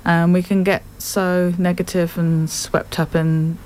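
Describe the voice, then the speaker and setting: An English-accented woman in her fifties talks through her work as a guest on a radio program. Her voice sits a little low, and she chats easily, laughing often as she goes. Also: little pitch movement, slow, low-quality recording. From England. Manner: chatty, laughs often, warm self-deprecating. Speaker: guest artist. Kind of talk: casual radio interview.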